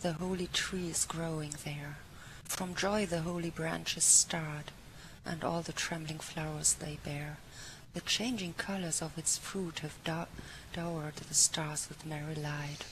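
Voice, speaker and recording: A woman recites verse in a notably low voice. The recording is clearly noisy, with ambient sound behind her reading. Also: fairly even intonation, measured pace, a bit bright. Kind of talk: poetry recitation.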